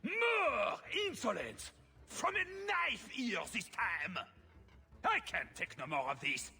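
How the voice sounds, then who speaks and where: Petulant game nobleman, high-pitched, piercingly forceful, haughty, shouted insults, drawn-out words. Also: huge pitch swings, gravelly, slightly rough, soft background music, heavily acted. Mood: outraged. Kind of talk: video game voice acting.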